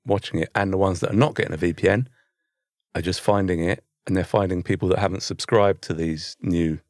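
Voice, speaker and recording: A British man chats casually as a podcast co-host. His voice sits on the low side, and the recording is dry, with hardly any room around it. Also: fairly even intonation, fairly quick, no room sound. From United Kingdom. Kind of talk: casual chat.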